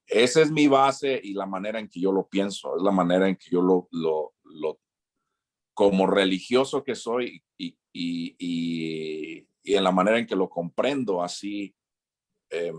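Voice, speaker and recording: A Mexican man, a former missionary, answering an interview question slowly, with many restarts and repeated words. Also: low voice.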